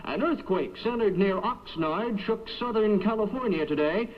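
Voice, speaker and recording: A man anchors a 1970s radio newscast in a high-pitched voice. He reads from a script. The sound is the dull, narrow tone of an old AM aircheck. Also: lively intonation, moderate pace.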